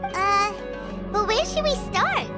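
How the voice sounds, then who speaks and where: A woman voicing a tiny, chirpy female companion from a game, who talks about herself by name in a squeaky voice. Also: expressive, slow pace, loud music behind the voice, heavily acted. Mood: unsure. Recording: game score playing behind.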